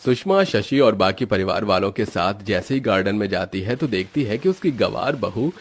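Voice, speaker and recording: A man's voice: on the low side, lively intonation, normal speed. A man giving scripted narration as the storyteller of a moral tale.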